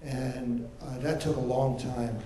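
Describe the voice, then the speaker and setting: An older man on a survivor panel, giving personal testimony in a soft-spoken, reflective and hesitant way. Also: mid-range voice, steady tone, slow pace, slight background noise. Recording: echoey lecture hall.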